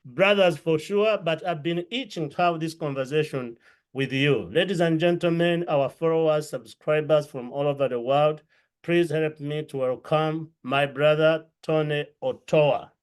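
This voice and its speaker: A man from Uganda hosts a video podcast, his voice sitting a little higher than most men's. He greets viewers and his guest in an effusive, stretched-out way, speaking from a room at home over a video call. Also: unhurried, smooth. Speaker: podcast host. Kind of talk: welcoming interview host. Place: home room with books and a clay pot.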